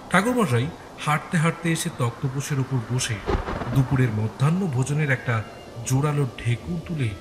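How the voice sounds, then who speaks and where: A man giving dramatic scripted first-person narration for an audio story, in deliberate, suspenseful phrases. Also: medium pitch, noisy background.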